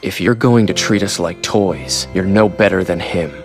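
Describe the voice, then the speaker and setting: A man's voice: low-pitched, normal speed, creaky. A man playing a cynical, surly fighter in a video game, with a blunt, dismissive way of talking. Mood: impatient, wary. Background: music.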